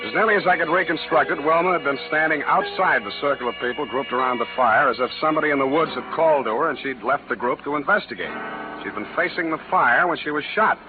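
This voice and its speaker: A wisecracking private eye, played by a man tells his case in brisk, deadpan radio narration. The sound is thin and tinny, with loud music behind him. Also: a little high, lightly acted. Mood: wry, hard-boiled. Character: wry, hard-boiled male private detective telling his case. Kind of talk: 1940s radio detective narration.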